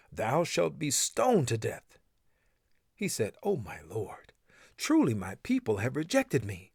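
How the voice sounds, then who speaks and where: A man reading scripture aloud in English in a solemn, measured and deliberate way, with dramatic phrasing. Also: medium pitch, darkish tone, no room sound, studio quality. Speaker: reader of a scripture translation.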